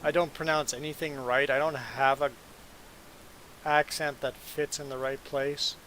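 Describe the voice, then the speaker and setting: A man hosting a live stream, chatting casually with viewers; his first language is Low German and he grew up in Belize and Canada. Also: a little slow, slight background noise, rough recording. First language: Plautdietsch (Low German). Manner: relaxed, chatty, answering viewers' chat. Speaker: livestream host.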